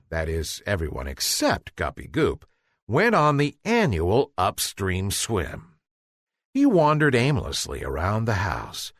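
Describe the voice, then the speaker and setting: A man's voice: on the low side, fairly bright tone, no echo, clean studio sound. A man narrating a children's bedtime story in a theatrical way, drawing out words for emphasis.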